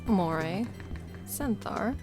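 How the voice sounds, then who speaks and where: An actress playing a bookish, blunt, literal-minded young space biker in an audio drama, precise and deadpan. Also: female voice, mid-range voice, extremely slow, music in the background. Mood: matter-of-fact.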